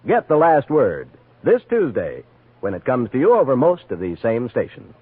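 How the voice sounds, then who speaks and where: A man with a husky voice reading scripted network promos as a 1950s radio announcer. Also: mid-range voice, huge pitch swings, moderate pace, no echo. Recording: dry, dull vintage radio recording.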